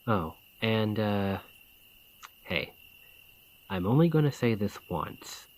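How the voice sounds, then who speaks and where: A man's voice: lowish voice, expressive, slow pace, rough recording. A man playing a roguish bandit leader in an ASMR roleplay, teasing and grudgingly impressed, with folksy turns of phrase. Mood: curious, teasing. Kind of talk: ASMR roleplay acting, one-sided dialogue.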